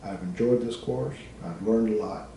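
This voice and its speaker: A middle-aged man giving a personal, reflective presentation as a student, speaking haltingly in short phrases with pauses. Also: on the low side, dark tone. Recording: very echoey, distant microphone, background noise.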